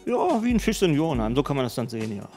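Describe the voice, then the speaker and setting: A middle-aged man and spa owner answering interview questions in a brisk, colloquial way, speaking into a handheld mic. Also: medium pitch, sing-song, a little mellow, faint music behind. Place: indoor spa studio. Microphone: handheld mic held by host.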